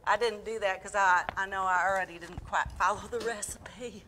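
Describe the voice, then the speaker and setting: A woman's voice: mid-range voice, steady tone, normal speed, sharp tone, a little hiss or hum, lightly acted. A woman playing a folksy, chatty Midwestern housewife who hosts a comedic live cooking show, addressing folks directly.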